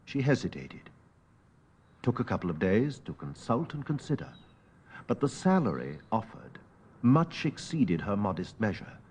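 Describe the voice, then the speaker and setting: An actor playing an educated Victorian gentleman, recounting a haunting memory in a measured, theatrical audiobook narration with weighty pauses. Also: lowish voice, big pitch swings, slightly rough. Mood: measured storytelling. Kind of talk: dramatic literary audiobook narration.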